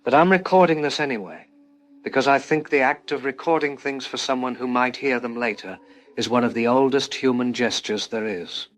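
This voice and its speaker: A man playing a reflective scientist, a lone survivor recording a measured, philosophical log for an audio drama. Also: mid-range voice, lightly acted. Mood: somber, reflective. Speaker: doctor and researcher.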